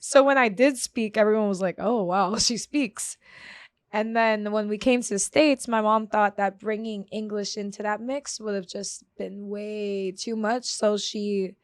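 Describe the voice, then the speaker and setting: A woman's voice: mid-range voice, steady tone, unhurried, no echo, studio quality. A woman in her twenties, born in Germany, chatting as a guest in an interview, laughing often and poking fun at herself. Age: 20s. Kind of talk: casual interview chat.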